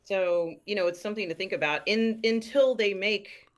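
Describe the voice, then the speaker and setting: A woman's voice: mid-range voice, normal speed. A woman co-hosting a talk show, chatting casually as a fan.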